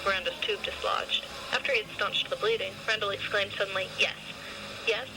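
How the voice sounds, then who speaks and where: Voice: mid-range voice, level tone, fast talker, gravelly, noisy background. A narrator reading a scripted short story, with music in the background.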